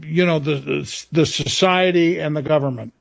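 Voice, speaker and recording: A man answering as a radio interview guest, halting and often searching for his words. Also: fairly high pitch, fairly even intonation, gravelly.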